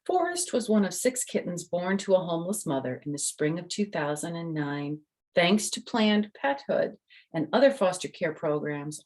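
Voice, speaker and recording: Older lady reading a picture book to kids, slightly low voice, chats to them as she goes. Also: a little mellow. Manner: addresses young listeners with questions and personal asides. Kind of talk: read-aloud storytime with chatty asides.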